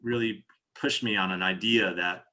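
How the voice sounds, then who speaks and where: A man's voice: on the low side, steady tone, normal speed, sharp tone. An American man from New Jersey speaking as a company executive in an interview, explaining products conversationally and often restarting his phrases. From New Jersey, United States.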